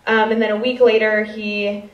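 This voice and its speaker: A woman's voice: mid-range voice, little pitch movement, moderate pace. A woman in her twenties with a Chicago accent doing a stand-up set, rambling and self-deprecating with many fillers. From Chicago, US Midwest. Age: 20s. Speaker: stand-up comedian. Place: stage in a hall. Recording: roomy, distant, dull-sounding live recording.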